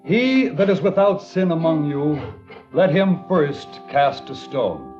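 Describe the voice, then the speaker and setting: An actor playing an earnest small-town preacher in a 1950s radio drama, reading scripture in a measured, solemn way. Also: male voice, on the high side, sing-song, a little mellow, soft background music. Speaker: reverend. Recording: dull old radio transcription.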